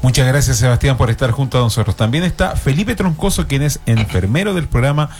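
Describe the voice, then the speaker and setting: Chilean radio host with formal delivery. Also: male voice, medium pitch, animated, fairly quick, a little mellow, soft background music. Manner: formal, with frequent fillers. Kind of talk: radio panel hosting.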